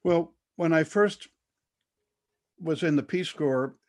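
An older man telling his life story as an interview guest, measured and thoughtful, with frequent fillers and restarts. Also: on the high side, darkish tone.

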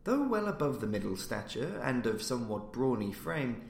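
A man reading classic horror fiction aloud as scripted audiobook narration, in a measured, formal storytelling manner. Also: medium pitch, very animated, gravelly. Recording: roomy, echoey indoor sound.

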